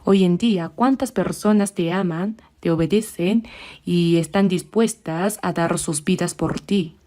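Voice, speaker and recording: Lady preaching at church, soft warm tone, slows way down reading bible verses. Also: lowish voice. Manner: measured, slows down for scripture readings. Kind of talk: sermon.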